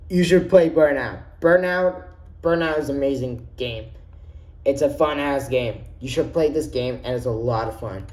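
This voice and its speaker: A man's voice: on the high side, a little mellow. A man showing his handheld game collection, talking casually and enthusiastically, often restarting his sentences. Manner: rambling, enthusiastic, frequent restarts. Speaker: gaming YouTuber. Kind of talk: casual menu walkthrough.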